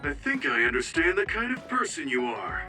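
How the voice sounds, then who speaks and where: A hesitant, casual young man from an anime game. His awkward delivery swings widely in pitch and sits a bit high, with music clearly underneath. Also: sing-song, normal speed. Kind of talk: English anime game voice acting.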